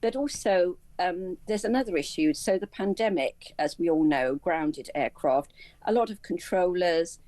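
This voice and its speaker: Casting: female aviation commentator, low register, mellow tone, close dry sound, explanatory and slightly halting delivery. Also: lively intonation, no echo. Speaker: aviation and travel commentator, interview guest. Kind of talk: live news interview commentary.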